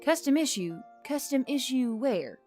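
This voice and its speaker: A sharp-witted, stubborn woman adventurer , played by a female reader, pushes for answers. She is blunt and sarcastic. The fantasy audiobook has music running beneath the voice. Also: sits high, unhurried, pitched above the performer's own voice. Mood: probing. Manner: flat, probing, sarcastic.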